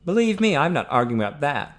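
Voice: mid-range voice, very animated, fast talker, pitched above the performer's own voice, natural voice. A narrator playing a cocky, flamboyant young man who is teasing and pleased with himself, in a story reading. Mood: smug, amused. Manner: snickering, exclamatory.

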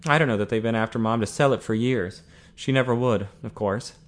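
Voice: medium pitch, brisk, close to an everyday voice. A narrator playing a reserved young man, guarded and bland with a jealous edge, in a story reading. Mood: subdued.

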